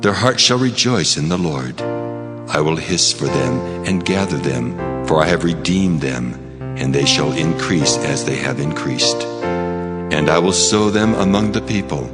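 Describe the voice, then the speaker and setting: An actor playing God as a solemn, commanding deity in a dramatized scripture reading, with measured declarations. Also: male voice, very deep voice, animated. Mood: solemn, resolute. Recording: loud music under the voice.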